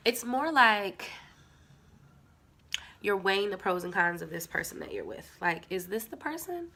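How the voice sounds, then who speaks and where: A woman's voice: medium pitch, very animated, slow pace, vocal fry, long pauses, bright, forceful tone. A woman with a stuffy nose, chatting casually to viewers as she reads tarot cards. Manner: chatty, filler-heavy, talks straight to viewers. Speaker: tarot reader. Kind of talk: casual tarot reading to camera.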